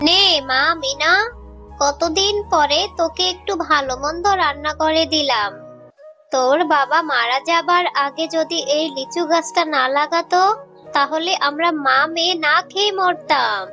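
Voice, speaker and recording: A cartoon mother fox, widowed and caring. The voice is extremely high and bright, with a plaintive, pleading delivery. Music plays behind it. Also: a little slow, exaggerated voice. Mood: relieved, wistful. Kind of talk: children's cartoon voice acting.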